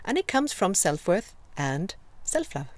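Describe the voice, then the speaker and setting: A woman in her fifties with a Swedish accent, hosting a live video Q&A and gently encouraging her viewers. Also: medium pitch, big pitch swings, no room sound. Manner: gentle, encouraging, slow and reflective with many pauses. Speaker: host.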